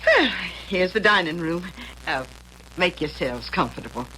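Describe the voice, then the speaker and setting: An actress playing a chatty, folksy middle-aged widow who runs a boarding house, in an old-time radio drama. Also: female voice, fairly low pitch, big pitch swings, moderate pace, pushed and bright, slight background noise, rough recording. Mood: hospitable. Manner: folksy, gushing, chuckling old-fashioned talk.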